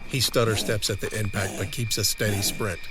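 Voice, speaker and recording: A man's voice: lowish voice, little pitch movement, a little fast, very noisy. A man reading scripted dramatic narration for an audio drama. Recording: dramatic music under the voice. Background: music.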